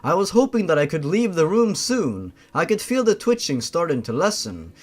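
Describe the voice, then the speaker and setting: A man's voice: on the high side, big pitch swings, normal speed. A man reading scripted horror fiction as a narrator, in a theatrical, dramatic way. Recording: music behind the voice. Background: music.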